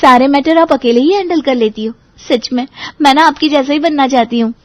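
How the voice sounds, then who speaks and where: A woman's voice: high voice, a little fast, no room sound, lightly acted. A woman playing an anxious, earnest young bride-to-be in an audio story, with a girlish manner. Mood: admiring. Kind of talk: Hindi audio story acting.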